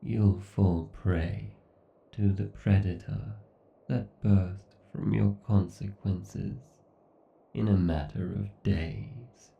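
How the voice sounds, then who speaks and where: A cruel, grandiose AI overlord, acted by a man, speaks in a low-pitched male voice with a gravelly creak. Every phrase is drawn out extremely slowly with obsessive, gloating menace, as in a horror roleplay. The sound is echoey, muffled and set back from the mic. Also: steady tone, distant mic, exaggerated voice. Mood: grave, menacing. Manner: slow, deliberate, menacing, grandiose. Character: obsessed, sadistic, gloating male AI god villain. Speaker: voice actor. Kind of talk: horror ASMR roleplay monologue.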